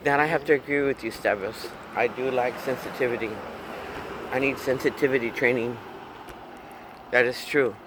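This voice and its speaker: A man's voice: mid-range voice, steady tone, normal speed, pauses often, noisy background. A man in his fifties chatting in a car in a rambling, joking way, chuckling and humming silly tunes. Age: 50s. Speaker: vlogger. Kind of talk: rambling casual chat.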